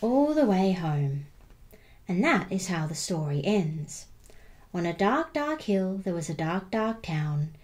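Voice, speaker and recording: A woman reading a children's picture book aloud as storyteller, expressively and with deliberate emphasis on repeated words. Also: fairly low pitch, very animated, a little mellow.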